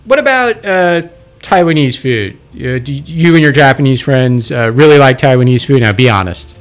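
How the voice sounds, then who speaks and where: A man's voice: mid-range voice, animated, moderate pace. A man hosting a radio interview, asking chatty, teasing questions. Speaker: radio host. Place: radio studio.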